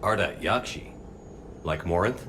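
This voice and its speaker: An actor playing a firm, persuasive military commander in a video game. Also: male voice, deep voice, expressive, unhurried, background noise. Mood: questioning, serious.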